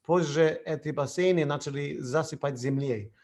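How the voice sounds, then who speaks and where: A man moderating an online Q&A, reading questions aloud in halting Russian and then English. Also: a little high, a little mellow. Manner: apologetic, out of practice in Russian. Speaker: event moderator.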